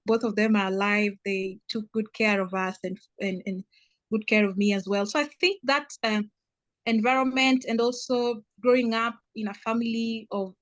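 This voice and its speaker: Young Kenyan woman, interview guest, high-ish voice, very dry sound, rambling fillers. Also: dry recording. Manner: hesitant, many fillers and restarts, storytelling. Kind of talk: casual interview answers.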